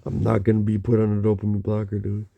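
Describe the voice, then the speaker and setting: Gravelly, dark-voiced man murmuring. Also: completely flat delivery. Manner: halting, murmured, with frequent low hums. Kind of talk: rambling monologue to camera.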